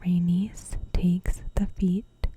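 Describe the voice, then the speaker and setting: A woman narrating a scripted recap in ASMR style, in a soft, whispery, breathy voice with gentle, deliberate short phrases. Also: fairly low pitch, level tone, slight background noise, poor audio. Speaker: ASMR creator recapping a TV episode.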